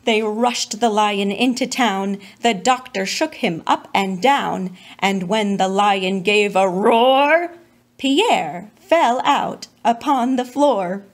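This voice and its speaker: A woman's voice: mid-range voice, slow pace. A woman reading a children's storybook aloud as its narrator. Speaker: storybook reader.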